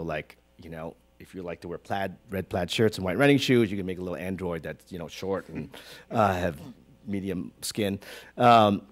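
A man in his forties from Hong Kong, a creative director as guest in an onstage conversation, talking with lots of fillers and big hand gestures into a stand mic. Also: fairly low pitch. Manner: animated, gestures broadly, many fillers. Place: stage in a small auditorium with audience. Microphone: stand mic close to mouth.

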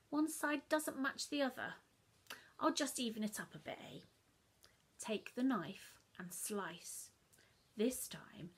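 A middle-aged woman reading a story aloud to children, dramatic and expressive, dropping into hushed whispers, filmed on her sofa in a living room. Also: medium pitch, breathy edge.

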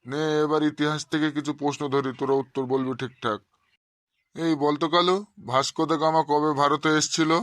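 A man playing an exasperated high-voiced cartoon schoolmaster. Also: little pitch movement, brisk, a bit bright, dry recording, clean studio sound, natural voice. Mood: quizzing. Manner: scolding, sarcastic, addressing pupils bluntly. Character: exasperated, short-tempered male village schoolteacher, middle-aged. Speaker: cartoon voice actor. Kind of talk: comic cartoon dialogue.

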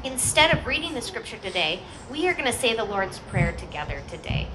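A woman's voice: a little high, very animated, fairly quick. A middle-aged woman pastor leading an outdoor worship service in an informal, conversational way. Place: outdoor church courtyard. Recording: outdoor PA with clear background noise.

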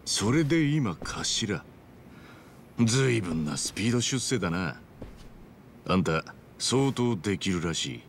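Brash game hero: lowish, highly animated, rough-spoken, plucky and hot-blooded. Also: male voice, slow pace, long pauses, a little hiss or hum, exaggerated voice. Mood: measured, wry. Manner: rough, informal, punchy street-tough phrasing. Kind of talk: dramatic video game voice acting.

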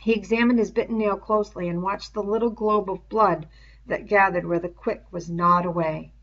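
A woman's voice: mid-range voice, fairly even intonation, moderate pace, fairly bright tone. A woman reading a novel aloud as scripted narration, on a dull lo-fi recording. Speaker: audiobook reader.

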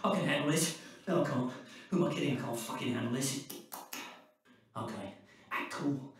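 An actor playing a manic, foul-mouthed British criminal with an erratic, volatile way of talking in a video game. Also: male voice, mid-range voice, moderate pace, lightly acted. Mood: anxious, desperate. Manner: sweary, erratic, swinging from soothing to ranting. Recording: echoey, distant, music behind.

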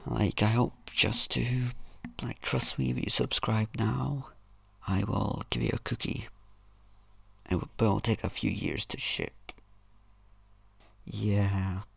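Gravelly, husky teenage boy, slow drawl. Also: dry recording. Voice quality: gravelly, husky, flat-sounding voice. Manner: low-key, rambling, self-mocking. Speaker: YouTuber. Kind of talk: casual channel intro voice-over.

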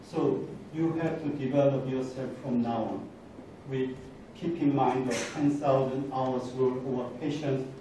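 Man in his fifties, Korean accent, earnest halting lecturer, mellow tone, heavy room echo. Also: medium pitch. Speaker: guest speaker. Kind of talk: motivational lecture to students. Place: large indoor university lecture hall. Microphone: handheld microphone, captured from across the room. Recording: very echoey hall, distant, faint noise.

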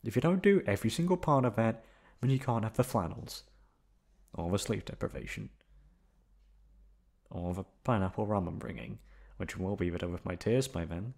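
Man acting as a low-voiced, playful college guy. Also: expressive, moderate pace, creaky, long pauses, darkish tone. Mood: playful, teasing. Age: young adult.

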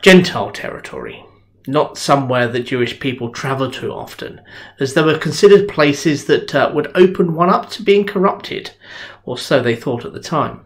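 A man's voice: medium pitch, expressive, normal speed. A middle-aged man preaching a sermon from a small home study, recorded on a desk webcam. His delivery is conversational and earnest, like telling a story to friends. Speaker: minister. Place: home study with bookshelves, indoors.

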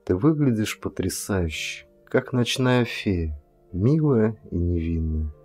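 A man's voice: lowish voice, animated, takes it slowly, a little mellow, husky, full character voice. A man voicing a tender, earnest lover who talks intimately and philosophically in an audiobook. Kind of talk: audiobook character dialogue. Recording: music under the voice.

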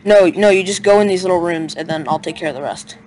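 A young man joking excitably and casually with friends while playing a game over voice chat. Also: sits high, fast talker, a crowd in the background. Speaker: gaming guest and YouTuber. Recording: clearly noisy voice chat with clicks.

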